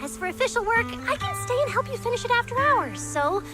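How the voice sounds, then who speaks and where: A woman acting an earnest, emotional young girl mechanic in a game, intense and expressive. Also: very high-pitched, quick pace. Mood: playful, sincere. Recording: loud music behind.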